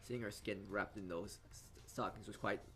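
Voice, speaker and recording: A man's voice: fairly low pitch, fairly even intonation, sharp tone, soft background music. A man reading a visual novel aloud as a let's-player, stumbling over lines and adding his own asides. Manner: halting reading with frequent stumbles. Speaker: video game let's-player.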